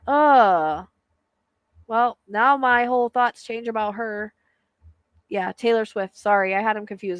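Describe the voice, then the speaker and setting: A woman's voice: mid-range voice, no room sound. A woman hosting a casual live chat as a psychic medium, with a soothing voice and a chatty, hesitant way of talking full of fillers.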